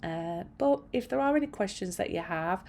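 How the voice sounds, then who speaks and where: A middle-aged woman with a raspy, hoarse voice, chatting casually and meanderingly while she paints at home. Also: mid-range voice, expressive, normal speed, a little mellow, slight background noise. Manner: chatty, meandering, self-effacing. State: hoarse from long illness. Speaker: art channel host. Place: at home by a window.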